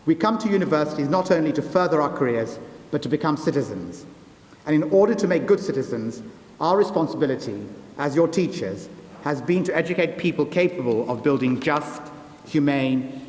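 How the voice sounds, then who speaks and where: A man whose first language is Gujarati, a senior academic delivering a formal, scripted graduation address in measured, oratorical phrases in a large hall. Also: medium pitch, a little mellow. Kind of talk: formal ceremonial speech, scripted. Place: large ceremonial university hall. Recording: echoey large hall, long reverb.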